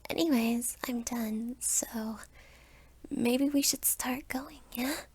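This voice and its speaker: A woman's voice: a little high, slow, a little mellow, slightly rough, heavily acted. A woman voicing a bratty young succubus vampire girl, teasing and menacing, in an anime-style roleplay. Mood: smug, flippant. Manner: taunting, flippant.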